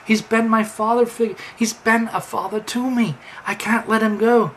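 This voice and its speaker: A storyteller voicing a panicked young farmhand, pitching up and talking fast. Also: steady tone, fairly bright tone, slight background noise, close to an everyday voice. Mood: desperate.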